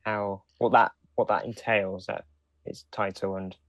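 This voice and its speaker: Man, low pitch, dry recording, casual podcast chat. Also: little pitch movement, measured pace, no room sound, highs cut off. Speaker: podcast co-host.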